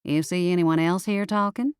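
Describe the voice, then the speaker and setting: A woman's voice: lowish voice, moderate pace, clean tone, dry recording, clean studio sound, close to an everyday voice. Woman voicing a sassy, bossy talking cat. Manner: folksy, blunt, bossy.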